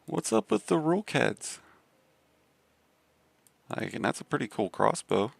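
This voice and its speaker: A man from the Southern US with a deep voice and a Southern accent, chatting casually on a game live stream. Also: big pitch swings, measured pace, gravelly, dry recording. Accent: Southern American. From Southern United States. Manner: laid-back, rambling with many fillers.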